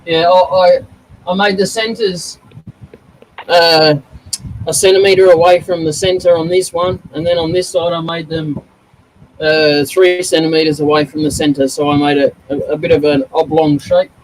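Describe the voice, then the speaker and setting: A man with a high-pitched voice jokes around and laughs easily. He talks casually about what he has been making, with faint background noise and clicks behind him. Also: little pitch movement, normal speed, low-quality recording. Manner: jokey, laughs often, shows off his work. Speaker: woodworker guest. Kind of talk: casual chat.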